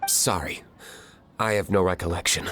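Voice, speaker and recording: A curt, brooding tavern owner. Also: male voice, low voice, moderate pace, a bit bright. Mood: cold. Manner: terse and cold. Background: game music.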